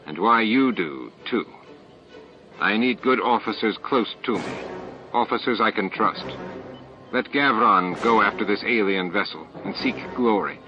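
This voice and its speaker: A man voicing a commanding, suspicious alien military leader in an audiobook, authoritative and measured, on a dull lo-fi recording. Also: fairly low pitch, over music, close to an everyday voice. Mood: commanding, confiding. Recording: dull, lo-fi cassette-like sound.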